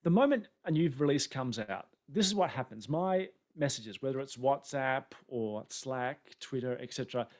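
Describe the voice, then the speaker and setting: A man's voice: medium pitch, animated, normal speed, few pauses, darkish tone. A man from Australia with an Australian accent, giving a chatty, self-deprecating webinar presentation over a video call from an indoor room. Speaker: conference presenter. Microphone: webcam setup on a video call.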